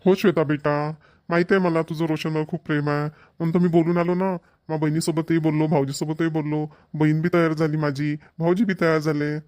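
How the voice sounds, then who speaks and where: A man's voice: on the high side, little pitch movement, fairly quick, a little mellow, no echo, lightly acted. A man playing a placating middle-aged village father in a cartoon, with a Vidarbha Marathi accent and an explanatory, repetitive manner. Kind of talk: amateur cartoon voice acting.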